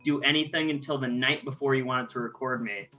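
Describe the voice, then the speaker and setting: A man's voice: medium pitch, steady tone, brisk, sharp tone, muffled recording, soft background music. A young adult man co-hosting a podcast, chatting casually and poking fun at himself. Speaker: podcast co-host. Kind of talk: casual chat.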